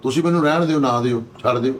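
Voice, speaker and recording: A man's voice: mid-range voice, fast. A man with a Punjabi accent chatting casually as a panelist on a comedy talk show.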